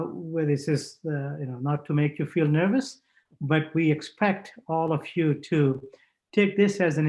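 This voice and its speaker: A man giving a formal introduction at a graduation ceremony, halting with many filler sounds. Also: a little high, darkish tone, low-quality recording. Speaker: dean introducing a guest speaker.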